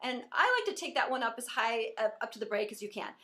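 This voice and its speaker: A woman voice teacher giving an encouraging singing lesson, explaining pitch and resonance as she demonstrates. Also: expressive, fast, bright, forceful tone.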